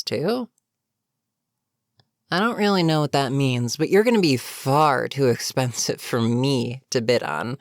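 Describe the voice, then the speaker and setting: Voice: mid-range voice, dry recording, clean studio sound, lightly acted. A performer voicing a weary goblin captain in a roleplay audio, chatting casually and sardonically with a captive, amused yet businesslike. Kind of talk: immersive character roleplay monologue, conversational.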